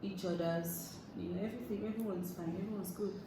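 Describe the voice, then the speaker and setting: A young woman speaking as a guest in a casual group chat, soft and hesitant, with her sentences often trailing off. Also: on the low side, fairly even intonation, brisk. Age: young adult. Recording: very echoey room, mic far off, noticeable background noise.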